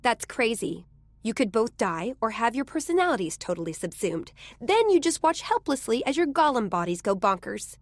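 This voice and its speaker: Casting: small, confident gnome genius in a game, higher voice, blunt and sardonic, technical delivery. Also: female voice, very animated, moderate pace, dry recording. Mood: sardonic, scornful. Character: sharp-witted female gnome-like inventor, confident and sardonic. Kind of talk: video game cutscene acting.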